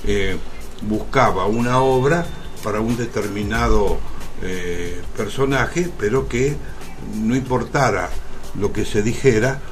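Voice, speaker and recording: An Argentine man, an actor being interviewed on radio, speaking reflectively in deliberate phrases broken by pauses. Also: lowish voice, noisy background. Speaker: interview guest, stage actor.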